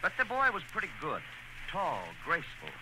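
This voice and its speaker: A man's voice: sits high, wildly swooping pitch, normal speed. A man giving earnest, theatrical scripted narration in a 1940s radio style. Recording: noisy old radio broadcast.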